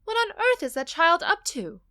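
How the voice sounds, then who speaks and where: A woman playing a caring, earnest mother in a radio drama, with expressive delivery. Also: very high voice, normal speed, no echo, heavily acted. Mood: puzzled, alarmed. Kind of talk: radio play acting.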